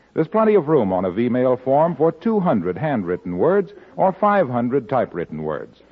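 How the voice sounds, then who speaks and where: A man's voice: mid-range voice, slightly rough. A man delivering scripted announcements as a 1940s radio announcer. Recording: muffled old radio broadcast.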